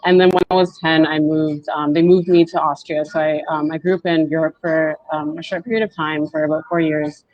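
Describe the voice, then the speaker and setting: A woman in her twenties, speaking softly and haltingly as she tells her story on a video call panel. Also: deep voice, little pitch movement, no room sound. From Canada. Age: 20s. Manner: soft-spoken, halting and reflective. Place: indoors at home, on a video call. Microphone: wired earbuds with mic. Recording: dull lo-fi video call audio.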